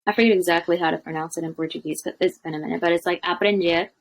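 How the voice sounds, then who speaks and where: A woman's voice: on the low side, steady tone, rapid-fire. Chatty young woman on a video call. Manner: chatty, many fillers, laughs often. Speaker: language coach, guest. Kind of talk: casual interview chat. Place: home room with bookshelves.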